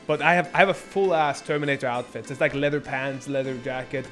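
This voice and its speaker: A man's voice: medium pitch, steady tone, quick pace, a bit bright, faint music behind. A young man born in Norway, chatting casually and rambling on his live stream, swearing freely. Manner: rambling, laid-back, lots of filler and swearing.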